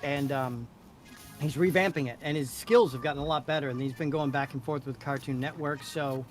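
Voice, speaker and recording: A man chats casually as a livestream co-host. His voice sits at an average pitch, and faint music can be heard behind him. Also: a little fast. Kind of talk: casual chat.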